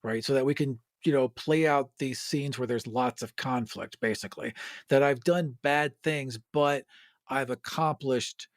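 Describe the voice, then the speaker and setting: A man with an average-pitched voice talks through ideas in a casual podcast chat. He is informal and agreeable, and the sound is dry and close. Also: unhurried, dry recording. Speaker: podcast co-host and editor.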